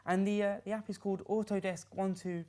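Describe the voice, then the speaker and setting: A woman's voice: medium pitch, little pitch movement, brisk, dark tone. A woman co-hosting a studio tech show, chatty and upbeat, sometimes tripping over her words as she introduces segments.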